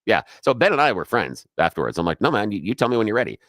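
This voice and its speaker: Big-voiced middle-aged man, deep and quick. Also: no echo, studio quality. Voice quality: big projecting voice. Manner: animated storyteller who acts out quoted voices. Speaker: radio talk show co-host. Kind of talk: casual on-air chat and storytelling.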